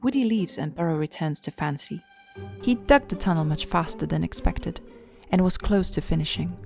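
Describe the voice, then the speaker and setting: A woman's voice: on the low side, expressive, normal speed, vocal fry, a little mellow, faint music behind. A woman reading scripted storybook narration as the narrator of an audio drama.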